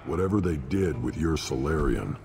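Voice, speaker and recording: An actor playing a surly, blunt alien warrior in a video game, gruff and dismissive, with a deep gravelly voice. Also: male voice, expressive, moderate pace, darkish tone, exaggerated voice. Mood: sardonic. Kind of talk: video game character acting. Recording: long reverb, clear background noise.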